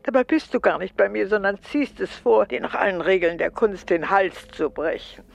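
A woman playing a grumbling but soft-hearted grandmother in a children's radio play, reproachful and dryly dramatic. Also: medium pitch, normal speed, vocal fry, natural voice.

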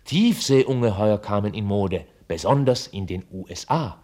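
An actor playing a dry, ironic narrator, reading scripted radio play narration like a documentary report. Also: male voice, on the low side, animated, measured pace, loud music behind the voice. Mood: dry, reportorial.

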